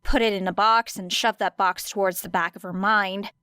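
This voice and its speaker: A woman reading an audiobook story dramatically, with deliberate, expressive phrasing and weighty pauses. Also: mid-range voice, clear, resonant tone, dry recording. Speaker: audiobook narrator. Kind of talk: dramatic scripted fiction narration.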